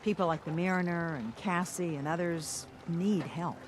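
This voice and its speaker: A woman playing a weary but firm, diplomatic leader of a harbor town in video game dialogue, plain-spoken and measured. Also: lowish voice, noisy background, close to an everyday voice. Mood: advising, wry.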